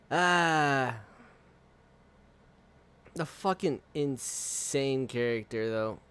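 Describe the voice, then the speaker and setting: A male streamer rambles at an unhurried pace, his voice clear and smooth. He swears freely and laughs often. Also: medium pitch, expressive, fairly bright tone. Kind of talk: casual live-stream chat.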